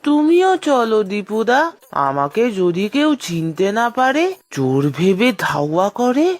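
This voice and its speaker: A woman's voice: medium pitch, big pitch swings, measured pace, soft background music. A woman voicing a greedy, cheeky young boy with a whining drawl in a children's cartoon. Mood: cheeky, impatient.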